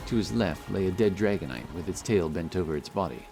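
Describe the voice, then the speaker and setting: Deep-voiced man narrating fantasy. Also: expressive, a little fast. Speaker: audiobook narrator. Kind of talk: scripted narration of a fantasy story. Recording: loud music behind the voice.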